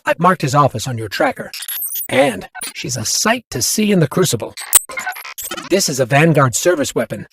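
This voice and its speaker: An actor playing a friendly, upbeat small robot companion, chatty and helpful, guiding a newcomer in scripted game dialogue. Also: male voice, fairly high pitch, big pitch swings, moderate pace, gravelly, slight background noise.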